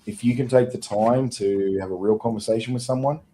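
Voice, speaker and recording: A man co-hosting a business podcast, talking in a relaxed, conversational way. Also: medium pitch, brisk, a little hiss or hum. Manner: relaxed, conversational, chuckles easily. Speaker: podcast co-host. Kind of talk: casual chat.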